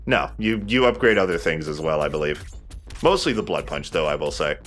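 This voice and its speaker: A man's voice: extremely low pitch, big pitch swings, a little fast, fairly bright tone, soft background music. A man hosting a let's play, chatting casually and agreeably about the game as he goes. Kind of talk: casual gameplay commentary.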